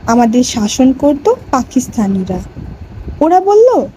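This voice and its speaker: A woman playing a schoolteacher in an educational cartoon, narrating a lesson and quizzing pupils in a deliberate, instructive way. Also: high-pitched, big pitch swings, noisy background, heavily acted. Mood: instructive, solemn. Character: female schoolteacher telling a history lesson to children. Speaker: teacher.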